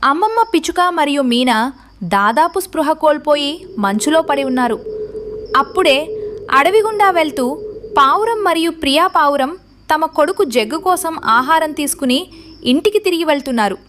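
A woman's voice: high voice, animated, a little fast, a bit bright, slight background noise. A woman reading scripted narration for a children's story as the narrator.

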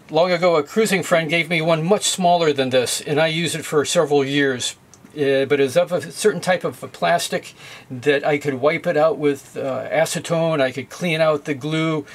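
A middle-aged man demonstrating at a boat's galley sink, explaining steps in a plain, methodical way with occasional fillers. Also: a little high. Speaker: sailing how-to video host. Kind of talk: hands-on demonstration.

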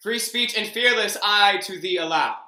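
A man's voice: high voice, moderate pace, bright, forceful tone, reverberant. A man voicing a commanding young king in a Shakespeare reading over a video call, with regal verse delivery. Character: King Richard, commanding young male monarch. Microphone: video call webcam.

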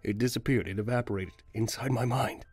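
A man's voice: mid-range voice, brisk, a little mellow, husky, dry recording. A man, an amateur voice actor, joking and mocking himself as he retries lines, music behind him. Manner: joking, self-mocking, mumbling. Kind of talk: outtake chatter and line retakes.

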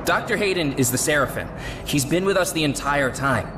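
A man's voice: fairly high pitch, animated, brisk, noisy background, natural voice. An actor playing a tense male crew member in a video game, reporting in an urgent tone. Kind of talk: video game cutscene voice acting.

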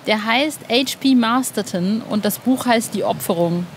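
A woman's voice: on the high side, a little slow. A woman hosting a podcast in casual, slangy and enthusiastic conversation. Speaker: podcast host, interviewer. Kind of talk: casual interview chat. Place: outdoors on a bench in a cemetery. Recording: clear outdoor background noise.

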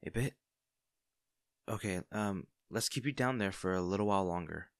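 Man playing young male barista, friendly and caring, lowish voice, dry close sound, casual hesitant delivery, audio roleplay. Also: normal speed, smooth, dry recording. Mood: concerned, gentle. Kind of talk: intimate audio roleplay acting.

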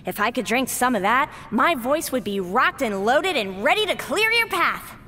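Reverberant, exuberant giant talking flower. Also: female voice, high-pitched, very animated, normal speed, sharp tone, full character voice. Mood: apologetic. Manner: theatrical, effusive. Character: exuberant giant talking flower, musical hall gatekeeper, theatrical. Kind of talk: video game voice acting. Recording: long reverberant hall effect, faint noise.

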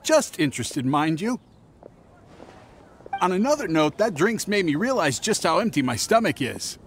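An actor playing a nervous, shifty young merchant in a fantasy game, hesitant, stammering and evasive. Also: male voice, sits high, very animated, moderate pace, a little hiss or hum. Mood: casual interest. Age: young adult. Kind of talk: scripted fantasy game voice acting.

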